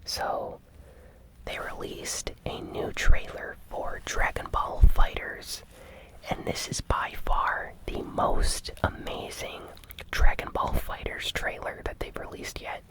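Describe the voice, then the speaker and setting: A host whispering an ASMR gaming news recap in a breathy, unhurried, hushed and gently enthusiastic way. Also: low-quality recording.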